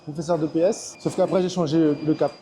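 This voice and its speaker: A man's voice: fairly high pitch, fairly even intonation, fast talker, noisy background. A man in his thirties from French Guiana, a personal trainer chatting casually in a large gym, hesitant with many fillers. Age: 30s. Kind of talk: casual chat.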